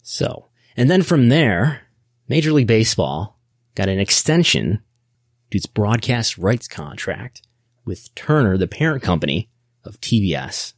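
A man's voice: lowish voice, very animated, measured pace, dry recording, clean studio sound. An American man hosting a podcast, giving casual explanatory business commentary in a wry, nerdy way, often thinking aloud. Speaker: podcast host.

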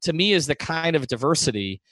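A man's voice: a little high, expressive, fairly quick, a bit bright, no room sound, studio quality. The man you'd hear on a sports podcast recorded in a deadened room, making his case emphatically and repeating himself to drive points home. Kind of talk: conversational sports analysis.

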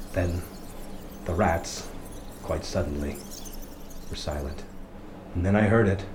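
An actor playing a haunted lighthouse keeper who tells a horror tale in a brooding, halting, dramatic radio play monologue. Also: male voice, deep voice. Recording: echoey, noisy location recording in lighthouse.

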